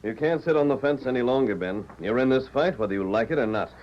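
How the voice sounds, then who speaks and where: An actor playing a calm, fearless masked hero in a 1940s radio western, giving terse, measured orders. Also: male voice, mid-range voice, slight background noise, lightly acted. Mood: forceful. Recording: muffled vintage 1940s radio recording.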